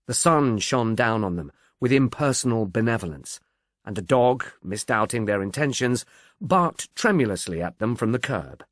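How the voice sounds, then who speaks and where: Male audiobook narrator, literary scripted reading, expressive varied pitch, close dry recording. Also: normal speed, no echo.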